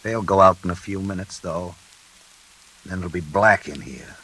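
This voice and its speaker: An actor playing a sinister night elevator operator in a classic radio suspense drama, laconic and coolly insinuating. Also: male voice, low voice, moderate pace, pushed and bright. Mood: coolly ominous. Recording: hissy, noisy old radio recording.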